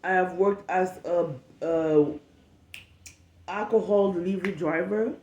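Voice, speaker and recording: A woman's voice: fairly low pitch, fairly even intonation, unhurried, warm tone, echoey room, highs cut off, a little hiss or hum. A woman in her late twenties from Cameroon with a Cameroonian accent, hosting a candid solo podcast, frank and emphatic with repetition. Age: late 20s. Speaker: podcast host.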